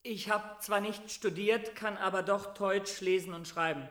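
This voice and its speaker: An actor playing a naive young peasant prisoner who answers simply and earnestly in a historical radio play. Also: male voice, high voice, fairly even intonation, a little slow, fairly bright tone. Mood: earnest, defensive. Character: naive, plain-spoken young peasant lad held prisoner. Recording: echoey interrogation room.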